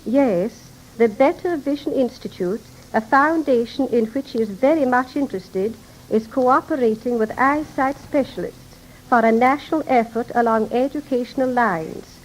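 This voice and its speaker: High-voiced woman, precise, old radio recording. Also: moderate pace. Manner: precise, articulate, formal. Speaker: secretary and interpreter, radio interview guest. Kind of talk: formal radio interview, explanatory storytelling. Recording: noisy 1930s radio broadcast recording.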